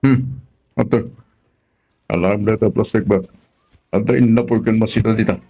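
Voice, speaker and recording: A man with a Filipino accent playing a gravelly, caring older uncle in a radio drama. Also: lowish voice, steady tone, normal speed, dark tone, soft background music. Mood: sympathetic, gently chiding.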